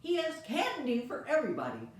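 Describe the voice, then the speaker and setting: A woman voicing an excitable female schoolteacher who gushes and draws out her words, in a very echoey room. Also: high-pitched, brisk, pitched above the performer's own voice. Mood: gushing. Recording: very echoey room, faint hiss.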